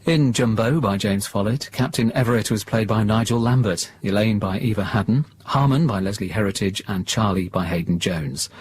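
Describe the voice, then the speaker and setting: A man's voice: lowish voice, normal speed, few pauses, a little mellow, husky. A man reading scripted closing credits as a radio announcer.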